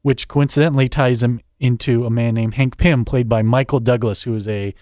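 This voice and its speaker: Critic guest: a man of middle pitch, wry and deadpan, quick asides, bone-dry studio mic. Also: no echo. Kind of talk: casual chat, plot summary.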